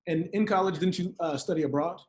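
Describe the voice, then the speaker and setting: A man's voice: sits high, fast talker. A man hosting an interview, asking friendly, conversational questions. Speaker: host.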